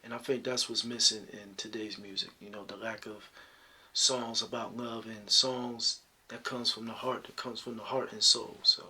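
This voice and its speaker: Brief: deep-voiced male singer being interviewed, husky tone, easygoing, filler-heavy. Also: moderate pace. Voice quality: deep talking voice. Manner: relaxed, reflective, many "you know" fillers. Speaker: singer guest. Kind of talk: interview answers.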